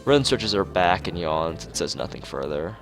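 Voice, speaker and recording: A man reading a visual novel aloud in an expressive narrating style, as a let's-player. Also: low voice, normal speed. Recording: music behind the voice. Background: clear background music.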